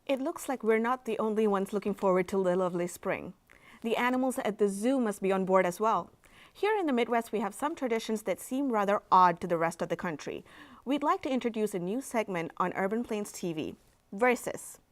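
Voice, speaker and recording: A woman's voice: mid-range voice, lively intonation, fairly quick. A woman presenting a local TV show, reading a scripted segment introduction in an upbeat way. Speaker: TV show host.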